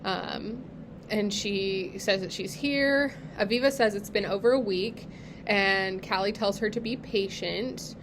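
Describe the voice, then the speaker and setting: A woman's voice: medium pitch, unhurried, background noise. A woman co-hosting a podcast, recapping a TV episode in casual chat with lots of fillers, still getting over being sick. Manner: chatty, many fillers like "like" and "um". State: recovering from illness. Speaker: podcast co-host.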